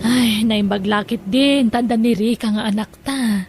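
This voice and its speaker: A woman's voice: on the high side, measured pace, darkish tone. A woman playing a devoted, sentimental Filipino mother and wife in an emotional audio drama, with a Filipino accent. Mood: tender.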